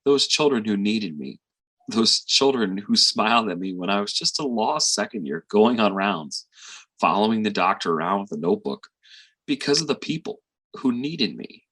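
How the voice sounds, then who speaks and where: An actor playing a weary, tender middle-aged father and physician in a reflective, halting stage monologue. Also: on the low side, lively intonation, a bit bright, breathy edge, low-quality recording. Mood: tender, earnest. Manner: reflective, halting with sighs and pauses.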